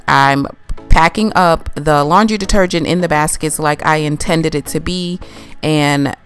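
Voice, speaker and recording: Low-voiced woman narrating her cleaning. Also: unhurried, faint music behind. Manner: chatty, explaining her routine step by step. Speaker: cleaning vlogger. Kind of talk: casual voice-over narration. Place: home laundry room.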